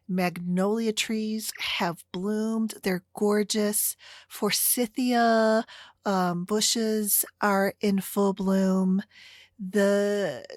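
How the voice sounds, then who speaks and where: A woman's voice: medium pitch, no room sound, clean studio sound. An American woman hosting a solo podcast, chatting casually in a rambling way. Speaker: podcast host.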